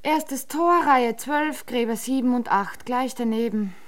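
Actress as a dryly witty detective-agency secretary. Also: female voice, a little high, unhurried, close to an everyday voice. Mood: dry, deadpan. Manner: dry, deadpan wit. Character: coolly witty detective-agency secretary writing crime novels. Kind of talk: radio drama acting.

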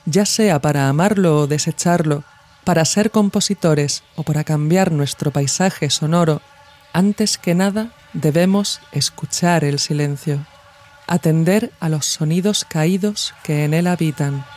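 A woman from narrates a scripted, reflective audio essay in a measured, formal way, as a podcast host. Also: low-pitched, darkish tone.

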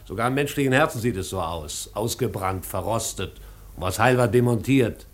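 A man's voice: fairly low pitch, moderate pace, natural voice. A man acting a blunt, bitter postwar official in a 1950s German radio drama, speaking in emphatic, rhetorical phrases.

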